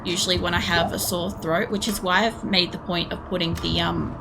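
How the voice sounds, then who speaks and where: A young woman with a hoarse, worn voice, chatting casually and rambling while she streams a game at home, swearing now and then. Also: fairly low pitch, steady tone, brisk, heavy background noise. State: hoarse, losing her voice. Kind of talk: casual chat while playing. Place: home room. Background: game music.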